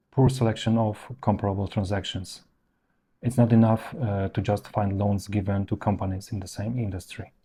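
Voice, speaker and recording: Male presenter, deep mellow tone, instructional explainer, structured delivery, emphasis on key points. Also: breathy edge. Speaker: presenter of professional explainer videos. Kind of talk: instructional explainer, semi-scripted narration to viewers.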